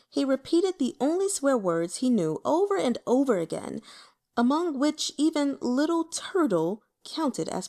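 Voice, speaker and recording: A woman narrates an audiobook in a high voice. Her delivery is expressive and lively, with wide swings in pitch as the story moves along. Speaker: audiobook reader.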